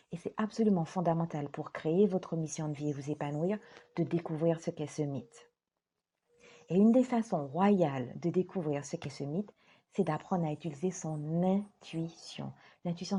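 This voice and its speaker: A woman life coach speaking straight to her viewers in a gentle, earnest way, stressing her words slowly. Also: lowish voice, husky. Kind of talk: direct-to-camera talk.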